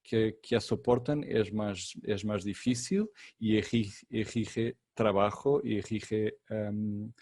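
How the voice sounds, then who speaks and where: A consultant giving a webinar masterclass, speaking slowly in a second language that is not his native Portuguese, with frequent fillers and repeated words. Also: fairly low pitch, fairly even intonation, a little mellow, slightly rough, dry recording. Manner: slow and deliberate, many fillers and repeated words. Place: online webinar.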